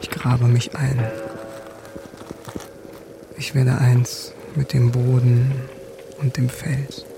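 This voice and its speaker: An actor playing a cold, haunted soldier who narrates in a terse, detached, deliberate way in a radio drama. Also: male voice, medium pitch, monotone, vocal fry. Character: cold, haunted male soldier turned lone avenger. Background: music.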